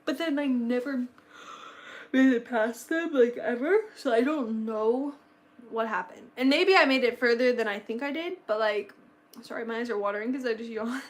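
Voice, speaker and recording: A woman's voice: a little high, normal speed. A young woman in her late teens chatting casually on a livestream, bubbly and rambling, her voice a little worn. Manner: bubbly, gushing, rambling with many fillers. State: slightly losing her voice. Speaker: livestream host.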